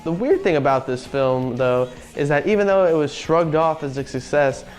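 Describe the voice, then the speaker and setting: A man's voice: a little high, animated, moderate pace, darkish tone, faint music behind, poor audio. A young man hosting a casual video review show, reading out facts and riffing on them offhandedly. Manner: chatty, offhand, reads facts then riffs. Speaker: podcast host.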